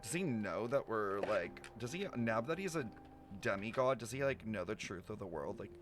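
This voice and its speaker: A man's voice: fairly low pitch, animated, normal speed, fairly bright tone. A young man giving casual, slangy reaction commentary as a streamer, with game music behind him. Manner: slangy, informal, swearing.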